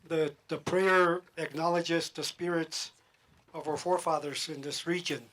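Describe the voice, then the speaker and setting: A man with a slightly raised voice speaks slowly and with care, pausing often between phrases. He addresses a group of students as an elder offering a prayer. His first language is a Pueblo tongue. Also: on the high side, fairly even intonation, fairly bright tone. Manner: slow, measured, with frequent pauses and fillers. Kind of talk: spoken prayer and explanation to students. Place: town hall meeting room.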